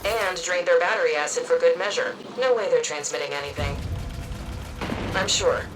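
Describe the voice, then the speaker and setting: An actress playing a wary scientist bantering with colleagues in a video game, casual and wry. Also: female voice, on the low side, normal speed, background noise, natural voice. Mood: wry, dismissive. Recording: distant voice under loud music. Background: loud music.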